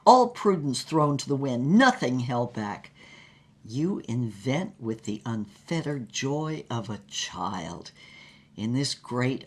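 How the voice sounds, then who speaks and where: A flamboyant actress of an audio drama, reading her diary aloud. Her delivery is very slow and measured, with florid, savored phrasing and a grand, rapturous temper. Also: a bass voice, darkish tone, heavily acted. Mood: reverent, fervent.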